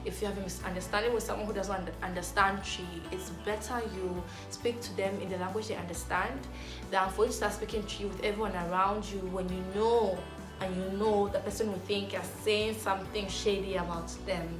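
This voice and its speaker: A young woman from Zambia talks casually to camera, rambling through her points with plenty of fillers and false starts. Her voice sits at an ordinary middle pitch. The room rings with echo, and music plays clearly behind her. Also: fairly even intonation, brisk. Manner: chatty, rambling with many fillers and restarts. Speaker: vlogger. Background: clear background music.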